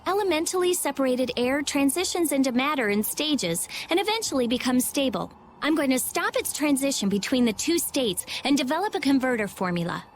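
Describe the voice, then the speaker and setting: High-pitched, brainy, prickly girl mage. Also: very animated, slight background noise. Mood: matter-of-fact. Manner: brisk, matter-of-fact, snappy explaining. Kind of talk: animated game voice acting.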